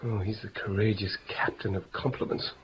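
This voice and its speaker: An actor playing a witty, mocking young gentleman in a 1950s radio Shakespeare play, with theatrical, bawdy banter. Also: on the low side, level tone, moderate pace, lightly acted. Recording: dull, noisy old radio recording.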